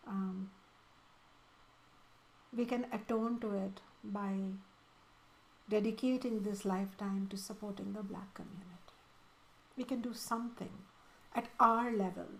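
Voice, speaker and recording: A woman's voice: mid-range voice, gravelly, darkish tone, slight background noise, poor audio. A woman from India with an Indian accent, a spiritual teacher answering student questions in an earnest, emphatic way.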